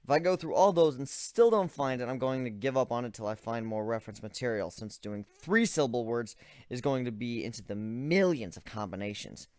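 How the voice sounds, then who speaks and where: A man performs a young griffin inventor in a dramatic storybook reading. He is methodical and analytical, with a weary, exasperated streak. The voice sits at a middle pitch in a very dry space. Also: expressive, brisk, a little mellow, no echo, lightly acted. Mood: focused, explaining. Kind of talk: dramatic reading of first-person fan fiction.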